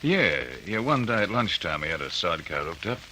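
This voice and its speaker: An actor playing a hesitant, laconic factory worker in a radio drama. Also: male voice, deep voice, sing-song, brisk, bright, forceful tone, a little hiss or hum, heavily acted. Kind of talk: classic radio drama acting.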